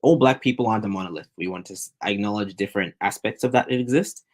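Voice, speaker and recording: Deep-voiced young man telling his story. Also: fast talker. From Zimbabwe, raised in New Zealand and Australia. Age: 20s. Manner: earnest, talkative, with frequent restarts. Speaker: podcast guest. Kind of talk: casual interview storytelling.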